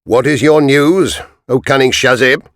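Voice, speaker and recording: A man's voice: medium pitch, a little slow, a bit bright, dry recording, clean studio sound, voice pushed up. A man reading a storybook aloud, voicing a stern male army captain who gives firm orders. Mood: commanding.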